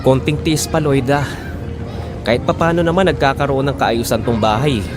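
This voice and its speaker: A man's voice: mid-range voice, moderate pace, music in the background, lightly acted. A man playing an earnest, persevering husband who pleads for his family in a Filipino audio drama. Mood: patient, defensive.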